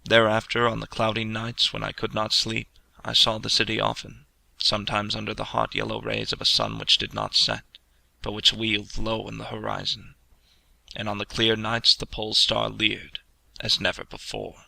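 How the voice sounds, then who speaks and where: A man narrates classic fiction in a solemn, dramatic, measured way. His voice is intensely creaky and gravelly, with a hard, sharply forceful edge. The recording is very dry, with no room sound around him. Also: fairly low pitch, no echo. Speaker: audiobook reader. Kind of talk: scripted literary narration.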